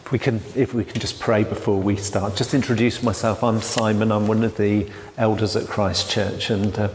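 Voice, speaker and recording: A man, a church elder giving a sermon in a quiet, hesitant way with many restarts. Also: fairly low pitch, flat delivery, moderate pace, a little hiss or hum. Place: church hall. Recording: long hall reverb.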